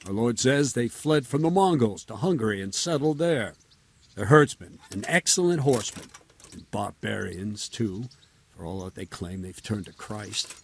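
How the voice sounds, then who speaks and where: An actor playing a gruff, forthright medieval castle captain, blunt and plain-spoken, in video game dialogue. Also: male voice, lowish voice, expressive, normal speed, a little hiss or hum. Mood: disdainful.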